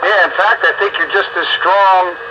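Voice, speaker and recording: A man's voice: sits high, steady tone, normal speed. An American man in casual, folksy conversation as an amateur radio operator over two-way radio. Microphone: two-way radio microphone. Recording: muffled radio transmission with clear static noise.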